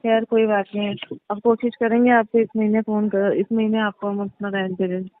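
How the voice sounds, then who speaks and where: On a thin, phone-quality line, a placating female tenant played by a woman speaks at medium pitch. She is coy and evasive, repeating herself in a phone-call drama. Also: little pitch movement, a little fast, close to an everyday voice. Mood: apologetic, placating. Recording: echoey, tinny narrowband phone line.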